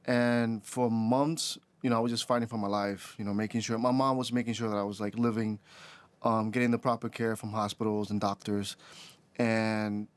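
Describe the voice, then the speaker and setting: A man in his twenties from the Dominican Republic, a podcast guest who tells his story in a halting way with many restarts. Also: fairly low pitch, flat delivery. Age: 20s. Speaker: podcast guest, rideshare driver. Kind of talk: casual interview chat.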